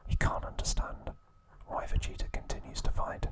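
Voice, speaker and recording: A man whispering ASMR trivia narration in a soft, steady voice, with casual asides now and then. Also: moderate pace. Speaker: ASMR creator.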